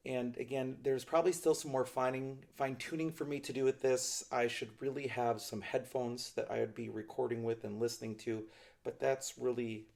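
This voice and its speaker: A man's voice: mid-range voice, steady tone, moderate pace, vocal fry, a little mellow. A middle-aged man reviewing ukulele gear in a small studio, explaining things in a thoughtful, hedging way. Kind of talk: product review demonstration. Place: small indoor studio with a plain backdrop.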